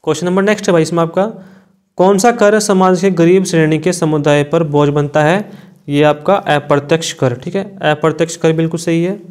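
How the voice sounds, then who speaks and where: A man's voice: fairly high pitch, a little mellow. A man, an exam coaching teacher reading out quiz questions and giving answers in an informal, brotherly way.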